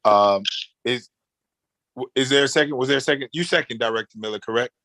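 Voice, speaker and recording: A man's voice: medium pitch, fairly quick, sharp tone, dry recording, poor audio. A man chairing a virtual board meeting, speaking in formal procedural phrases with a polite, somewhat hesitant delivery.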